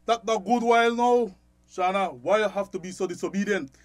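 Actor as scolding middle-aged Belizean Creole shopkeeper dad, high male voice, dry radio drama sound. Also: a little fast, no echo, natural voice.

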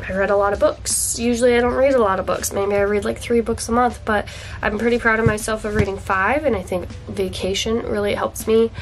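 A woman's voice: medium pitch, steady tone, a little fast, faint music behind, low-quality recording. A young woman book vlogger chatting to camera in a dim indoor room, congested from a cold, gushing and chatty with fillers. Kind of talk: casual chat to camera.

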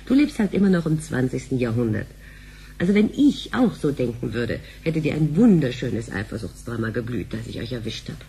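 A sarcastic wife played by an actress needles with lively, swooping intonation. Her mocking, sharp-tongued talk has a faint hum behind it, as in a sci-fi radio play. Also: a bass voice, fairly quick, vocal fry, full character voice.

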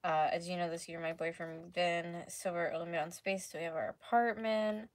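A woman in her twenties with a slightly hoarse voice, chatting casually as she livestreams crafts from home. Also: on the low side, fairly even intonation, normal speed. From North America. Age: 20s. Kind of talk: casual chat while painting. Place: small room at home, indoors. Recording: clearly noisy home livestream recording.

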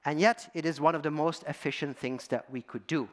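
A man's voice: a little high, fairly even intonation, a little fast. A middle-aged man from Belgium giving a storytelling conference talk in a large auditorium, addressing the audience with fillers. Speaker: guest speaker.

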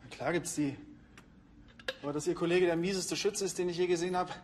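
A man's voice: high voice, fairly even intonation, a little fast, a little hiss or hum, lightly acted. An actor playing an irritated, officious male firearms expert in a TV crime series. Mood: scornful.

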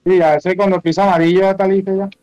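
A Venezuelan man with a high voice, talking in loose, sweary slang like a gamer in the middle of a session. Music plays behind him as he chats. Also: flat delivery, very fast, a little mellow, no echo, lo-fi sound. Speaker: gamer streaming an online role-playing game. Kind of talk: casual gaming chat.

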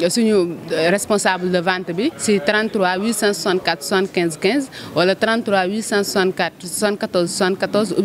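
Young Senegalese company rep, handheld mic, faint hum behind, mid pitch. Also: female voice. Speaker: company representative. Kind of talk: interview answers. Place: exhibition stand indoors.

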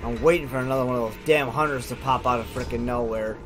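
A man's voice: medium pitch, fast, over music. A man giving casual, informal gameplay commentary as a let's play host, thinking aloud and reacting as he goes.

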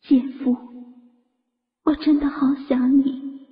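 Woman acting a slow, echoing, heartbroken young woman. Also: sits high, flat delivery. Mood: anguished, remorseful. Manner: slow, sorrowful confessional delivery. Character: heartbroken guilt-ridden young woman reading her farewell letter. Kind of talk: audio drama voice acting. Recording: muffled sound with long reverb.

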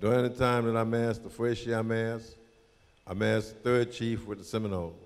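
A man in his eighties with a low voice tells his story plainly and steadily. He speaks into a handheld mic in a community hall, and a long echo hangs after his words. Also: flat delivery, normal speed, clean tone. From New Orleans, Louisiana. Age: 80s. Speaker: panel guest, Mardi Gras Indian chief. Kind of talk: telling a personal story to an audience.

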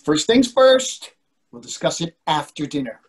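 A man's voice: on the high side, big pitch swings, measured pace. A man in his sixties acting in a comic readers theatre play as a dry, commanding management consultant, over a video call. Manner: dry, authoritative. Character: self-assured older management consultant, dryly commanding husband. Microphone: computer microphone on video call.

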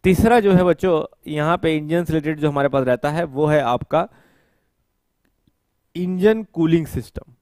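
Guy teaching on a whiteboard, headset mic, slightly high voice, dry close sound, repeats terms slow while writing. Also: a little mellow, no room sound. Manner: explains step by step, repeats key terms slowly while writing; mixes English technical terms into Hindi speech. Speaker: exam coaching teacher. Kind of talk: whiteboard lecture. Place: studio with whiteboard, indoors.

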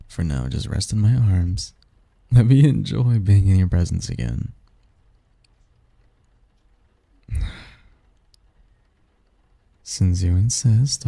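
A man's voice: lowish voice, gravelly, dark tone, no echo, full character voice. A man voicing a doting, possessive sleep demon lover in an intimate romantic ASMR roleplay, teasing and tender in courtly phrasing. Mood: tender, affectionate.

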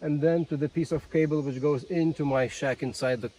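Man, higher-pitched, noisy outdoors. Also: steady tone. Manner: halting, repeats words. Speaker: ham radio vlogger. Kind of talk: casual demonstration to camera. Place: outdoors in a grassy field beside a van. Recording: noisy outdoor field recording.

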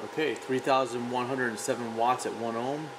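Clear background noise with a fan-like hum sits under a man's voice. He is a mid-pitched product tester who gives short, factual commentary and announces readings plainly. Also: fairly even intonation, moderate pace. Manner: terse, factual. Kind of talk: matter-of-fact test commentary.

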